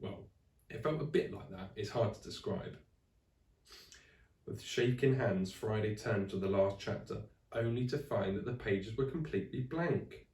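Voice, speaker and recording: Bloke reading kids story out loud, lowish voice, expressive, roomy echo. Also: male voice, unhurried, recorded from a distance. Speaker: teacher reading aloud to children. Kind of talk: expressive children's storybook narration. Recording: echoey room, away from the mic.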